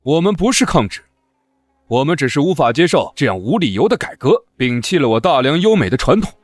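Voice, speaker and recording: An actor playing a proud, righteous, unbending scholar in a historical comic drama, oratorical and haughty. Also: male voice, a little high, big pitch swings, brisk, dry recording. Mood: righteous, defiant.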